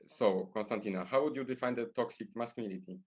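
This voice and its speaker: A man's voice: lowish voice, quick pace, husky. A man from Poland with a Polish accent, presenting a podcast as host in a scripted style.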